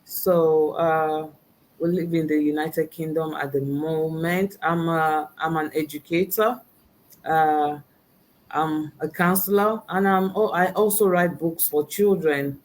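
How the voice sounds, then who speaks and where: Slow soft-spoken middle-aged woman. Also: sits low, steady tone, slight background noise. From Nigeria. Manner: soft-spoken, hesitant, many "you know"s, laughs often. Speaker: guest, educator and business owner. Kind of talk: interview answers on a video call.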